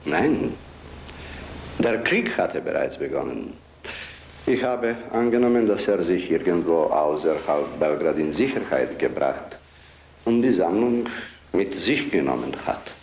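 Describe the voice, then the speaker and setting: Wary museum director, lively pitch, faint hiss. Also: male voice, measured pace, gravelly, exaggerated voice. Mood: subdued. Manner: careful, polite, subdued. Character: cautious middle-aged museum director, deferential. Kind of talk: film acting.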